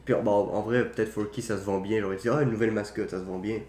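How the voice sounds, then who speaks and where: Low-voiced young man, roomy sound. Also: fairly even intonation, fast, a little mellow. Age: young adult. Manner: opinionated, slangy, mild swearing. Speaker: podcast co-host. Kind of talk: casual film chat. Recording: roomy echo, faint background noise.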